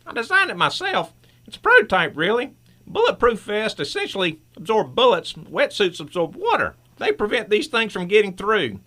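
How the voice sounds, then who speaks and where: A woman's voice: medium pitch, big pitch swings, normal speed, a bit bright. A woman playing a friendly, confident scientist and inventor in an old-time radio drama, chatty and encouraging. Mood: friendly, proud.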